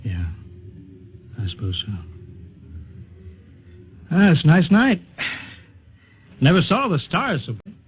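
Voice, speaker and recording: An actor playing a steady, weary official who speaks gravely and reassuringly in a 1950s radio drama. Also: male voice, high voice, slow pace, long pauses, heavily acted. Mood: somber. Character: Hank Hanson, steady, weary, soothing flight-base official. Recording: tinny, band-limited vintage radio recording.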